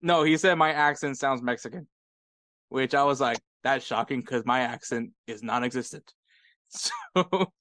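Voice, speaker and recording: A man's voice: mid-range voice, measured pace, no room sound. A man with an American accent, co-hosting a podcast and telling stories in a casual chat, laughing often. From United States. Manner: laughing often, animated storytelling. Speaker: podcast co-host.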